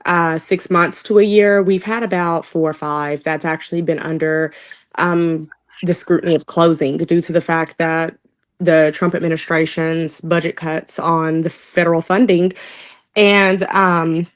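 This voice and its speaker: A young woman with a Southern accent from the Oklahoma-Texas border answers interview questions in a relaxed, talkative way. Her voice sits low for a woman, and she laughs easily between points. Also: a little slow. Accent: Texoma Southern US. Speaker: political candidate interviewed. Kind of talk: casual interview.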